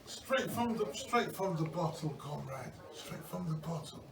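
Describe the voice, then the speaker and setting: Casting: fervent wounded Red Army comrade male amateur acting, high male pitch, declamatory repetitions, distant roomy sound. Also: expressive, a little slow, gravelly, a crowd in the background. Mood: comradely. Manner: repeats phrases, declamatory. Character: zealous wounded Soviet soldier, devoted to the motherland. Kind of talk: amateur improvised war role-play. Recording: echoey, distant, noisy room recording.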